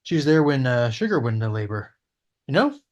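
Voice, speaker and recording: A man's voice: mid-range voice, very animated, brisk. A man reading a pushy, friendly in-law's lines at a casual table read. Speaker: cast member at a table read.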